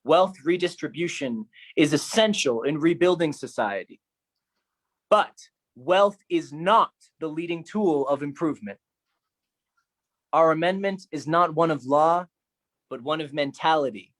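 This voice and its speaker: A man performing a theatrical spoken manifesto, declamatory and solemn, with deliberate phrasing. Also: on the high side, a bit bright. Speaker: solo performer.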